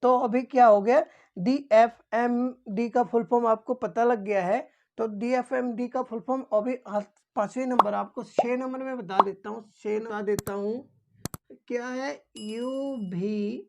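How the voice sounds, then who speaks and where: Lady teacher with a highish voice, explains stuff simply, repeats things, asks then answers herself. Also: steady tone, unhurried, slight background noise. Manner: repetitive, asks and answers own questions. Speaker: instructor. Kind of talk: informal explanatory lesson.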